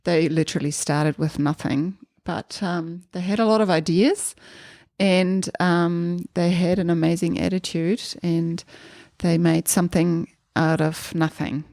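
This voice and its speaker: A woman from Germany with a German accent, a podcast guest talking in a relaxed, thoughtful way with many small pauses. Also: lowish voice, dry recording, clean studio sound. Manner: thoughtful, hesitant with many ums. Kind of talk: casual interview chat.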